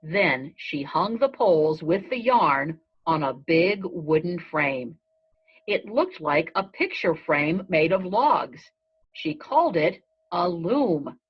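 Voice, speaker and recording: A woman reading a children's story aloud in measured, deliberate phrasing. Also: lowish voice, expressive, breathy edge. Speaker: storybook reader. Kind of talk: read-aloud narration of a children's story in a goat's first person. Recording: dull lo-fi recording.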